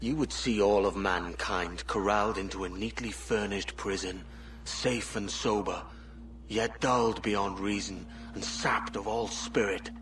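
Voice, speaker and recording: Deep-voiced, resolute pirate captain. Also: male voice, steady tone, unhurried, fairly bright tone, slight background noise, low-quality recording. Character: world-weary pirate captain turned assassin, earnest and resolute. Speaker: actor voicing a pirate captain. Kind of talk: cinematic video game acting.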